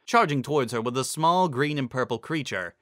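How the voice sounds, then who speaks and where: A man narrates a fantasy story as a dramatic reading. His pitch is mid-range and very lively, and the tone is bright and forceful. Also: brisk, no echo, studio quality.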